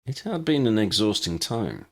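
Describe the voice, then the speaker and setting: A man's voice: on the low side, very animated, moderate pace, a bit bright. A man reading scripted fiction as an audiobook narrator.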